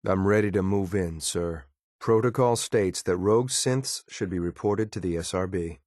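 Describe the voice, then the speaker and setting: A man's voice: deep voice, normal speed, a little mellow, no echo, studio quality, lightly acted. A man voicing a stern, loyal synth soldier for a video game, speaking in a clinical, coldly formal, clipped military manner. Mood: formal, measured. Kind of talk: scripted video game companion voice lines.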